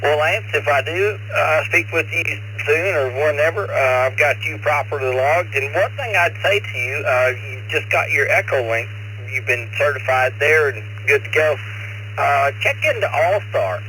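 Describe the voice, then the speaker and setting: A man's voice: on the high side, normal speed, sharp tone, rough recording. A man chatting casually as an amateur radio operator, in a folksy, talkative way, repeating words as he goes. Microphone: radio transceiver over internet link. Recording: amateur radio link with faint noise.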